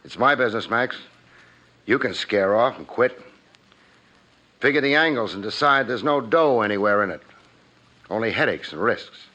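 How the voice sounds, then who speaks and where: A man's voice: mid-range voice, lively intonation, normal speed, pauses often, pushed and bright. A man playing a wry, hard-boiled private investigator in a 1950s radio drama, with a clipped tough-guy delivery. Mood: insistent. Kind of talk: 1950s radio detective drama acting and narration. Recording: muffled 1950s radio recording with music.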